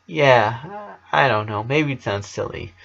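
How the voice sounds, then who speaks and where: A man's voice: mid-range voice, very animated, takes it slowly, vocal fry, a bit bright, exaggerated voice. Man voicing a hesitant young boy, slightly muffled. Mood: hesitant, sad. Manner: hesitant, vulnerable. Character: Lincoln, troubled eleven-year-old boy.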